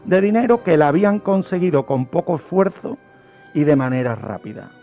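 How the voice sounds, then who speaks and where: A man with an accent from Spain presenting a true-crime story on radio, phrasing it deliberately and emphatically, with repetitions. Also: on the high side, expressive, dull audio, faint music behind. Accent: Spanish from Spain.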